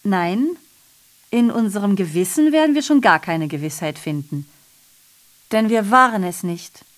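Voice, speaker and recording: A woman's voice: medium pitch, animated, measured pace, lightly acted. A woman acting a sardonic messenger in a literary radio play, declaiming ironically and constantly correcting herself. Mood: mock-festive, declamatory. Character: sardonic female messenger reporting atrocities, digressive and self-correcting. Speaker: radio drama performer. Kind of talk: literary radio play monologue, theatrical narration.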